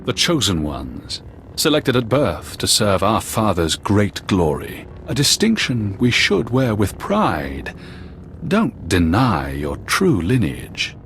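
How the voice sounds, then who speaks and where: Slow, deep, sinister Sith zealot. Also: male voice, huge pitch swings, a bit bright, a little hiss or hum, exaggerated voice. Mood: imperious. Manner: polite, taunting, measured, theatrical. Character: sinister, smugly courteous male Sith zealot serving his Emperor. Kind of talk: scripted video game villain acting.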